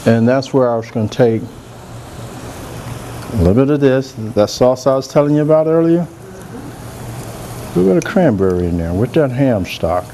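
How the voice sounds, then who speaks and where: A soft-spoken man, chatting relaxed as he hosts a cooking demonstration in a large room. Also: medium pitch, moderate pace, pauses often, a little hiss or hum. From Georgia, US. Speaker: cooking show host, chef. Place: large room with a live audience.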